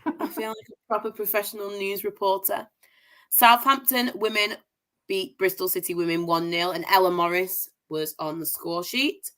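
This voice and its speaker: A woman's voice: mid-range voice, fairly even intonation, moderate pace, a bit bright, poor audio. A young woman from Manchester who sounds posh, hosting a casual football results show and reeling off scores with upbeat enthusiasm. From Manchester, England. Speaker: host of a women's football review show. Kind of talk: casual livestream results roundup.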